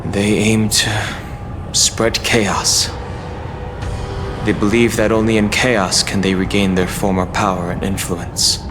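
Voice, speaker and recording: Serious fighter, lowish voice, grave explaining delivery, game music behind. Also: male voice, flat delivery, takes it slowly. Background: orchestral game music.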